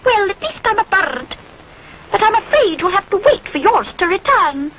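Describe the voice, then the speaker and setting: A woman's voice: very high-pitched, very animated, normal speed, highs cut off, heavy background noise, voice pushed up. A woman voicing a small, stubborn, cross bird in a children's story, with an indignant chirping manner.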